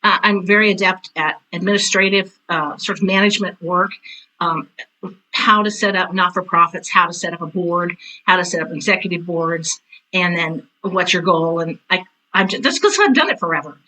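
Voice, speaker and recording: A woman's voice: on the low side, normal speed, pushed and bright, slight background noise, poor audio. A woman in her sixties chatting as an interview guest, telling stories in a casual, emphatic way. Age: 60s. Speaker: interview guest, retired theater teacher and director. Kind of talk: casual conversational storytelling.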